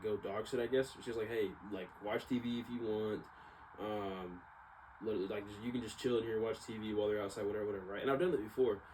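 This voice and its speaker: A man's voice: fairly low pitch, a little mellow, poor audio. A man talking casually to viewers at home in a rambling, slangy, hesitant and reflective personal monologue. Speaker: vlogger. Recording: clearly audible background noise.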